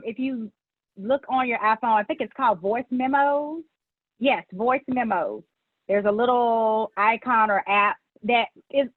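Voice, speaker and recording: A woman's voice: on the high side, no room sound. A woman panelist on a video call, friendly and chatty. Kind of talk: casual chat on a video call. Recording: tinny, dry video call line.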